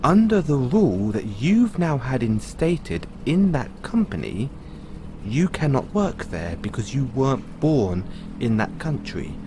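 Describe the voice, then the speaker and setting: Faint noise sits behind a man acting as a kindly owner of an android in an intimate audio roleplay. He speaks slowly, with a lively swing in pitch, musing and explaining as he addresses the listener directly. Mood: musing, earnest. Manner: musing, explanatory, measured with pauses.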